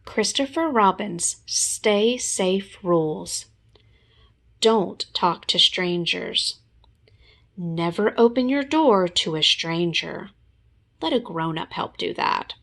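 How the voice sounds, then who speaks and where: Female storybook reader, teacherly, unhurried and expressive, with creak in the voice. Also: on the low side. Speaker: teacher reading aloud. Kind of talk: expressive children's storybook narration.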